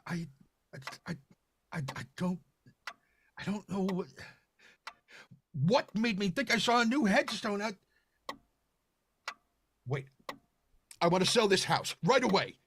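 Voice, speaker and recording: An actor playing a haunted, nervous widower in old-time radio horror style, halting and stammering with agitation. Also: male voice, sits high, animated, a bit bright, slight background noise, exaggerated voice. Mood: shaken confusion. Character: haunted, nervous, grieving widower desperate to sell.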